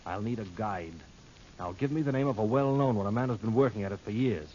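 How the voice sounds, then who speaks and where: An actor playing a brisk, domineering European villain in an old radio drama, commanding and impatient. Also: male voice, lowish voice, warm tone, natural voice. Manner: commanding, impatient, smugly insistent. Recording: dull old radio recording, faint noise.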